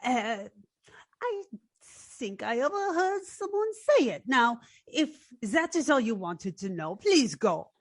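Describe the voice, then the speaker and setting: A woman's voice: on the high side, sing-song, takes it slowly, no echo, studio quality, heavily acted. An actress playing a proud, indignant young woman with a haughty, emphatic manner in an old-time radio detective drama. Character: proud, indignant young French woman, defiant femme fatale.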